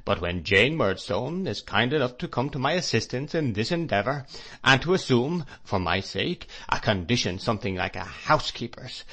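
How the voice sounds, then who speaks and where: A man voicing a stern, domineering husband who lectures his wife in slow, cold tones. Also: mid-range voice, sing-song, sharp tone, heavily acted.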